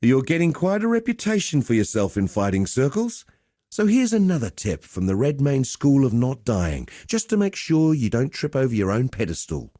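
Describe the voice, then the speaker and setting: An actor playing a roguish, cheerful veteran pit fighter in a video game, talking in a colloquial, wry, swaggering storyteller's way. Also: male voice, mid-range voice, wildly swooping pitch, normal speed, warm tone, no room sound, studio quality. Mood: wry mentoring. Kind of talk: scripted game dialogue.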